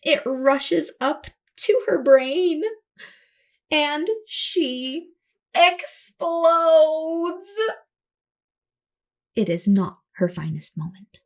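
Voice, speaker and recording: A woman storyteller reading a picture book aloud to children in an expressive, dramatic way, acting out the words with her voice. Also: high-pitched, very slow, pauses often.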